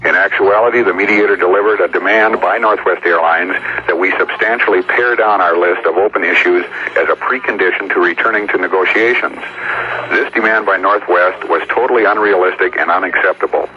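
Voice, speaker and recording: Man, American accent, deep pitch, union spokesman, formal statement, faintly noisy muffled clip. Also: a little fast. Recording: muffled, noisy news actuality.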